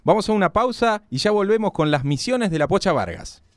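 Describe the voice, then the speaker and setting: Higher-pitched Argentine male radio announcer. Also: expressive, brisk. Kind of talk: scripted credits and sponsor reading.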